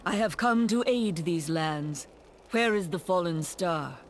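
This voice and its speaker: Solemn low-voiced female necromancer. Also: animated, noisy background. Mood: solemn, resolute. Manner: solemn, formal, measured. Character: stern composed female necromancer come to aid the lands. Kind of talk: video game voice acting. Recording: dramatic game music behind.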